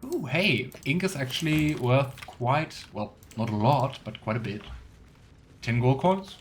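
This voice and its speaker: A man's voice: medium pitch, lively intonation, measured pace, slight background noise. A man giving casual, chatty game commentary as a let's play streamer, sometimes reading game text aloud.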